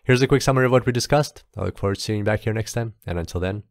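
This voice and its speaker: Male tutorial instructor, lowish pitch, informal step-by-step delivery, dry close recording. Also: racing, no room sound. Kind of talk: explanatory screencast tutorial narration.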